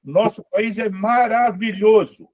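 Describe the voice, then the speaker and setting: Emphatic high-voiced man on call. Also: steady tone, slow pace, no room sound. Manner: emphatic, opinionated. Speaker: course participant. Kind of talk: audience comment in a web conference. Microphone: video call. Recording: dry, dull lo-fi call audio.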